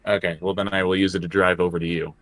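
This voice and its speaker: A man with a low, forceful voice plays a tabletop role-playing game. He talks casually and haltingly, repeating himself as he puts his moves to the game master, in a close, dry sound. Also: level tone, no echo. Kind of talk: casual improvised game play.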